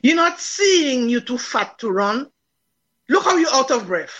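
Blunt older village woman, female actor: drama role, Dominican Caribbean accent, high pitch, unhurried, sceptical and teasing. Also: big pitch swings, a bit bright, lo-fi sound, heavily acted. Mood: mocking. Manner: dry, mocking, unhurried. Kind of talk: dramatised dialogue in Caribbean creole English.

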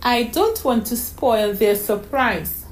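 A woman's voice: on the high side, animated, takes it slowly, pitched above the performer's own voice, rough recording. A woman doing a shy stammering little girl. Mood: eager, hesitant. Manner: hesitant, repeats words.

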